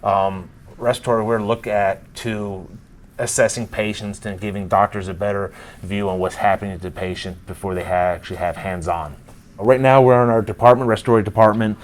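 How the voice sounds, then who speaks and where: Low-voiced man explaining his hospital job. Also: steady tone, brisk. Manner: plain explanatory, some fillers. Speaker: respiratory therapist. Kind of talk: casual workplace interview.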